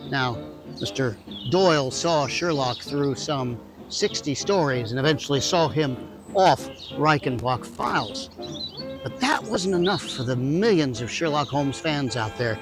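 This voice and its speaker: Emphatic male host, medium pitch. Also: animated, measured pace, over music. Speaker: host introducing an audio drama. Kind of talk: scripted narration.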